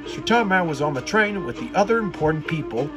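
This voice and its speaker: A man reading a children's story aloud, haltingly, stumbling over words and repeating phrases. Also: a little high, expressive, over music. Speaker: storybook reader.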